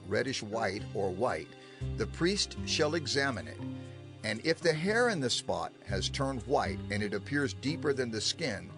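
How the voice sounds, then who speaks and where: A man narrates scripture in a solemn, measured manner for a dramatized audio Bible. His mid-pitched voice moves widely in melody and stresses phrases firmly. Music plays clearly behind him. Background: background music.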